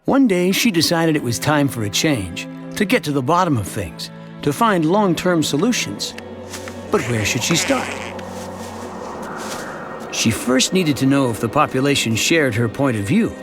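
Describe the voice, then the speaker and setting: A man playing a chatty, wry middle-aged suburban family man who narrates his town's story in an animated short. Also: fairly high pitch, a little slow. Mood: storytelling. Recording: music behind the voice. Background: music.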